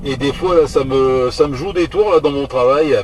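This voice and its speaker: A man chatting casually on amateur radio, hesitating and often repeating his words and phrases. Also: normal speed. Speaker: amateur radio operator hosting a radio contact. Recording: noisy radio audio, vehicle rumble, distant mic.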